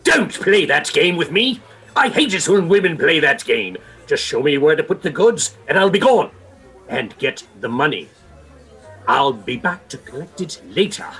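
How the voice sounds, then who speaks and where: An actor playing a curt, impatient, suspicious go-between in an amateur radio play. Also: male voice, a little high, very animated, moderate pace, a bit bright, breathy edge, faint music behind, heavily acted. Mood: threatening.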